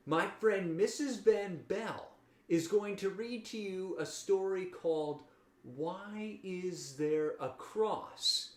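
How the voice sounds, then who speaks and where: A woman hosts a children's read-aloud with a lively, swinging intonation and deliberate delivery. She speaks slowly and expressively to young listeners. The room adds a clear echo, and guitar music plays behind her. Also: lowish voice. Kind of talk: scripted introduction for children.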